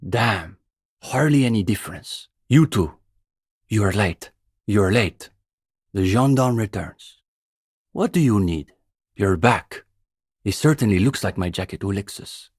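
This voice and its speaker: Earnest, pompous young student radical, husky. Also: male voice, lowish voice, expressive, measured pace, long pauses, darkish tone, no echo, studio quality. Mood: wry, casual. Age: young adult. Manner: pompous, bookish, precise, lecturing. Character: earnest young male communist student, pompous intellectual zealot. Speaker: student leader of a revolutionary reading group. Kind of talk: video game character dialogue.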